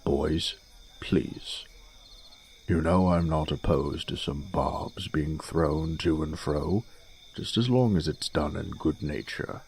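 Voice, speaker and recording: A man's voice: extremely low pitch, slow pace, darkish tone, slightly rough, heavily acted. A man playing a genial, dignified older noble father in a fantasy audio drama, speaking in a stately, avuncular manner. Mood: gently chiding.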